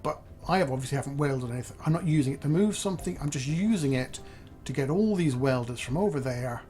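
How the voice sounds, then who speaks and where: Slightly hoarse man thinking aloud. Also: fairly high pitch, animated, fast talker, warm tone, faint music behind. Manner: thinking aloud, chatty and self-deprecating. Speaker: let's play host. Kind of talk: casual gameplay commentary.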